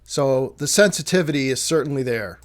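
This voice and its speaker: A man giving a casual, explanatory talk as an amateur radio hobbyist, halting and correcting himself as he works through technical details. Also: medium pitch, lively intonation. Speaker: amateur radio hobbyist presenting his project.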